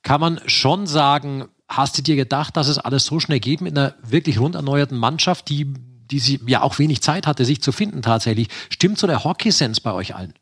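A man hosting a casual sports podcast, chatting in long, winding questions with a husky voice. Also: medium pitch, animated, a little fast, hardly any pauses, fairly bright tone. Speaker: podcast host.

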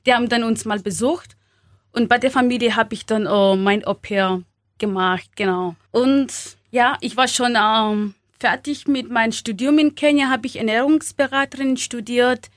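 A young woman from Kenya speaking German as a second language, halting with many fillers and small grammar slips, as an interview guest. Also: fairly high pitch, no echo, studio quality. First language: not German. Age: young adult.

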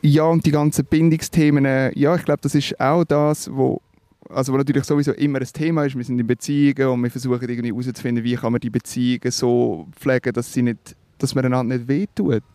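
Swiss German musician guest, mid-pitched man, searching his words, dry close mic. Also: level tone, fast talker, mellow, dry recording. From Switzerland. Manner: thoughtful, hesitant, many fillers and restarts. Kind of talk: reflective interview talk.

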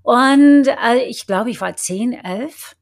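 A middle-aged woman with an American accent and English as her first language, speaking German haltingly with many fillers and restarts as she tells her life story in an interview. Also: fairly high pitch. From United States. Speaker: guest, actress. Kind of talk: casual interview, personal story.

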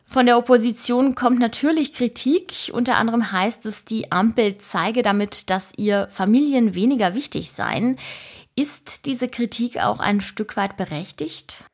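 A woman's voice: on the high side, unhurried. Woman presenting podcast news. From Italy.

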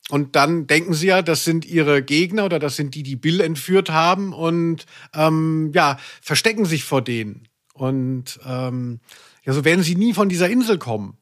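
A man with a slightly high voice talks casually as a podcast co-host. His delivery is wry and gently mocking, recorded dry with hardly any room sound. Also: normal speed, no echo. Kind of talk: casual chat and commentary on radio plays.

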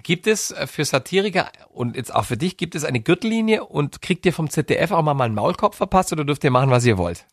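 A man's voice: a little high, big pitch swings, quick pace, few pauses, no room sound. A man from Bavarian Swabia hosting a breakfast radio interview, jovial and asking playful questions. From Bavarian Swabia, Germany. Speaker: radio host. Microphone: studio microphone. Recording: dry radio studio.

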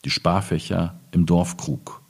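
A man speaking as a poet at a literary reading, in measured, clearly phrased German delivery. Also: deep voice, fairly even intonation. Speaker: poet reading his own work. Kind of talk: literary reading of poems with informal introduction.

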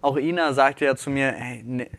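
A man's voice: mid-range voice, steady tone, moderate pace, fairly bright tone, no echo. A youngish to middle-aged man chatting casually as a podcast co-host, thinking aloud in loose, colloquial digressions. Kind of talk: casual chat between friends. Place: dry, close-miked recording.

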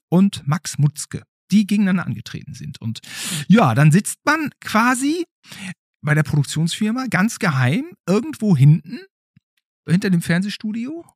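Animated man with a husky voice. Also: sits high, normal speed, no room sound, studio quality. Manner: animated, chatty. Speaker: host. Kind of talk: casual storytelling and chat with a child.

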